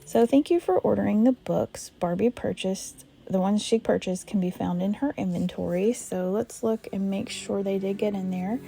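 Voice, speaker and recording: Hoarse, gravelly woman narrating gameplay. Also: medium pitch, moderate pace, few pauses, mellow. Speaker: let's play host.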